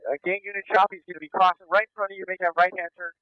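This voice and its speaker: A man working as a police officer, calling out a pursuit over police radio in terse, halting live commentary. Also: high-pitched, level tone, clean tone, no room sound. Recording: muffled police scanner radio.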